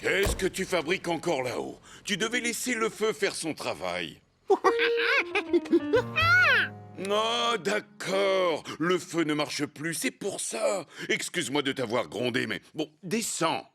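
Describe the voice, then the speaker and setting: An earnest cartoon officer lectures with kindly reproach. The voice is fairly high and swoops hugely from low to high. Loud music plays underneath. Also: male voice, very animated, unhurried, gravelly, hardly any pauses, exaggerated voice. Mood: scolding, then apologetic, resolute. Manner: lecturing, theatrical, swinging intonation. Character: earnest, scolding but kind local officer on inspection. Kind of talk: children's cartoon dub.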